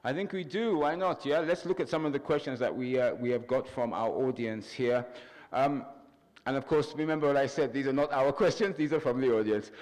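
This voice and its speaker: A man hosting a TV panel show, reading credits, shout-outs and audience questions in an upbeat announcer manner. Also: mid-range voice, fairly quick, in a large hall. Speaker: TV talk show host. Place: TV studio.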